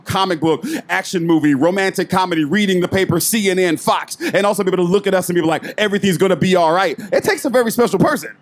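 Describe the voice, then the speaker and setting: A man speaking on a panel, emphatic and rapid-fire. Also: sits high. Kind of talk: animated panel talk.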